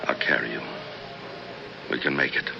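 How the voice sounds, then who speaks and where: A gravelly, guilt-ridden husband. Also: male voice, rumbling low, steady tone, exaggerated voice. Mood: resigned, uneasy. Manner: halting, protesting. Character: guilt-ridden husband, a reluctant murderer pleading with wife. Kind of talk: radio drama acting. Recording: muffled, noisy 1970s radio recording.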